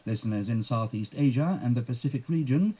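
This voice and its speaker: A man reading scripted station announcements and schedules as a radio announcer, in a formal, measured way with careful enunciation. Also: medium pitch, lively intonation, dark tone, husky. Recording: muffled 1990s shortwave radio archive recording.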